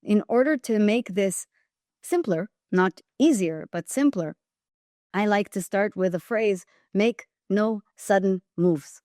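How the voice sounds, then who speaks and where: A woman's voice: medium pitch, slow, dark tone, dry recording, clean studio sound. A woman with an Israeli accent, a guest on an interview podcast, who speaks emphatically and repeats phrases for weight.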